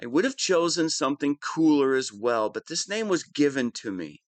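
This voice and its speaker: A man's voice: a little high, expressive, normal speed, dry recording, natural voice. A man playing a whiny, pompous wannabe supervillain who is easily flustered, in a scripted comedic audio roleplay. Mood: petulant, whiny.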